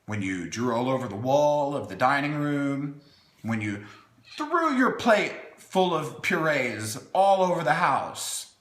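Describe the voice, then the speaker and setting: A man's voice: a little high, sing-song, slow pace, a bit bright. A man hosting a playful storytime, reading a children's book with wry, chatty asides in a theatrical way, as if talking to small children. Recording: echoey room.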